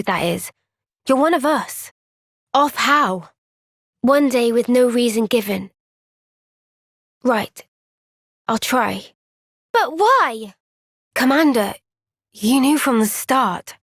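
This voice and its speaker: An earnest young female soldier from a fantasy video game. Her voice sits on the high side and she takes her time, gentle and reflective with thoughtful pauses. The sound is clean and very dry, with no room around it. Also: no room sound, exaggerated voice. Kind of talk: video game cutscene voice acting.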